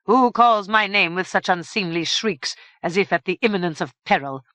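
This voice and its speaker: A woman voicing a stately, grave fairy king who speaks in a measured, formal way. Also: on the low side, bright, forceful tone, no echo. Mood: stern.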